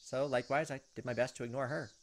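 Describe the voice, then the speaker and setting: A man's voice: medium pitch, fairly even intonation, fast talker, slight background noise. A man hosting a let's play, reading a visual novel aloud and commenting casually as he goes.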